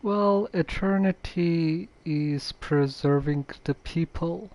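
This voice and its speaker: A woman streamer giving casual, halting, deliberate commentary on a game. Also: fairly low pitch, a little mellow.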